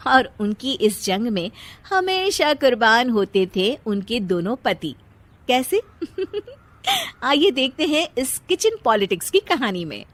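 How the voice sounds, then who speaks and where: A woman's voice: fairly high pitch, very animated, slow pace, fairly bright tone. A woman narrating a scripted story, playfully addressing her listeners.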